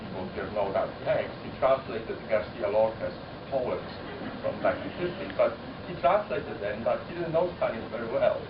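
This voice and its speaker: Man, Polish native, lower voice; reflective panel answer, halting; distant echoey room recording, dull and noisy. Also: expressive. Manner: thoughtful, halting, circling back to rephrase. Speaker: poet-translator. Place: university common room.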